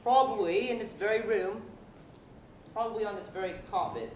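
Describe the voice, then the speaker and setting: A young woman on stage playing a ponderous, deadpan police inspector in a farce, solemn and halting. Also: mid-range voice, lightly acted. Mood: ponderous solemnity. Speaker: student actor in a one-act comedy. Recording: echoey hall with faint noise.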